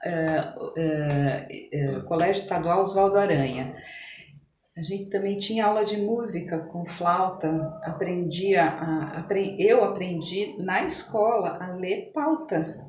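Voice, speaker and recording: A woman's voice: lowish voice, slow, darkish tone. Echoey recording of a Brazilian woman in her sixties. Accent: Brazilian Portuguese. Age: 60s. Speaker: podcast guest. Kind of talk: reminiscing in a conversational interview. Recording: echoey room with clear background noise.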